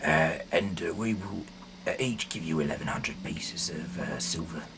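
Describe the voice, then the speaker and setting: Really low deep guy reading bible out loud, stumbles and rambles, bit of noise behind him. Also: moderate pace. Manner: stumbling, rambling, often correcting himself. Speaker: amateur reader of a Bible audio series. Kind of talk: halting read-aloud of scripture with off-the-cuff asides.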